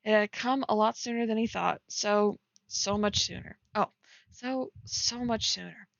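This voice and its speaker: A woman's voice: medium pitch, a little slow, creaky, dry recording, lo-fi sound. A giggly woman casually reading fan fiction aloud and breaking off to comment on it as she streams.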